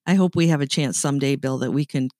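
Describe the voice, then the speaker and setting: A woman's voice: a bass voice, fast talker, mellow, no echo, clean studio sound. A middle-aged woman from Canada with a light Canadian accent, co-hosting a podcast and telling stories in a chatty, laughing manner. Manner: chatty storyteller, laughs often. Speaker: podcast co-host. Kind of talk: casual chat.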